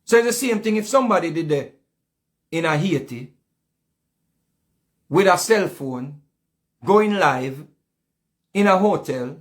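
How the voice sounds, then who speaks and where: Man, Jamaican accent, high voice, heated patois commentary, repetitive. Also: expressive, unhurried, pauses often. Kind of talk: casual commentary.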